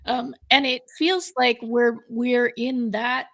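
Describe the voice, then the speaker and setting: A woman co-hosting a live talk show, chatting casually with quick asides and frequent fillers. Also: fairly high pitch, level tone, vocal fry, fairly bright tone. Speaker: co-host.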